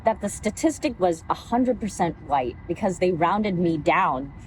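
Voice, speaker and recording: A young woman from the East Coast in relaxed casual conversation, easygoing and quick to laugh. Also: lowish voice. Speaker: voice actress, guest. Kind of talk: casual chat. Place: outdoors in a park. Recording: noisy outdoor recording with traffic.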